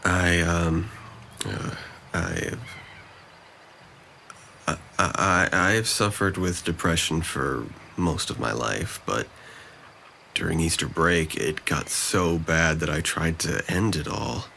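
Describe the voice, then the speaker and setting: Deep, gravelly, depressed young man, acted by a man. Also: slow pace, pauses often, sharp tone, slight background noise, exaggerated voice. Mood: downcast, confessing. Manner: dry, hesitant, self-deprecating. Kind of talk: audio drama dialogue.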